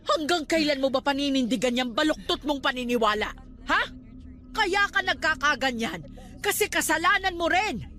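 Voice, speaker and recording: An actress playing a stern, superstitious aunt who scolds and admonishes in a Filipino radio drama. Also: female voice, high voice, expressive, moderate pace, bright, forceful tone, faint music behind. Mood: exasperated scolding.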